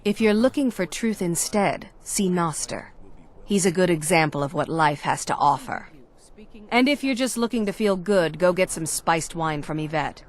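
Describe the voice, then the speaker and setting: A woman's voice: lowish voice, animated, moderate pace, natural voice. A woman voicing a jaded, resentful townswoman in a fantasy video game, sarcastic and dismissive. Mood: bitter, dismissive. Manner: sardonic, dismissive.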